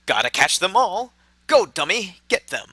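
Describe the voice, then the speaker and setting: A gleefully menacing mad scientist in a radio play. The voice is high and very sharp, with wildly animated pitch and a dry, close sound. He scolds theatrically, as if his creations were naughty children. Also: moderate pace, dry recording, heavily acted. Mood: commanding. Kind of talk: hobby radio drama acting.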